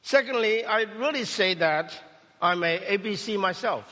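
A man preaching a sermon testimony in short phrases, pausing for an interpreter. His first language is Cantonese. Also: high-pitched, animated, bright, forceful tone, big-room sound. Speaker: pastor.

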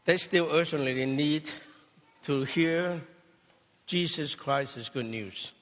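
A man preaching a sermon testimony in short phrases, pausing for an interpreter. His first language is Cantonese. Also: a little high, a bit bright, big-room sound. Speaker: pastor.

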